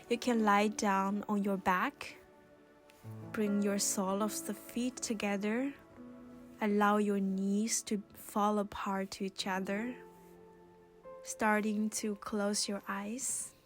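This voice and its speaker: Slow, even-toned woman guiding yoga over music. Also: mid-range voice. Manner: soothing, gentle, unhurried guiding with pauses. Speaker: yoga instructor. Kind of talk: guided yoga and meditation instruction.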